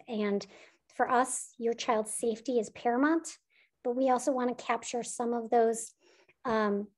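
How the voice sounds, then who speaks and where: A woman's voice: fairly high pitch, darkish tone. A woman school administrator answering parents' questions in an online information session, reassuring and with frequent ums.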